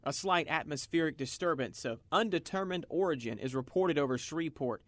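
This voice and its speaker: A man's voice: a little high, expressive, brisk, no room sound, lightly acted. An actor playing a matter-of-fact male weather reporter in a radio drama, reading formally.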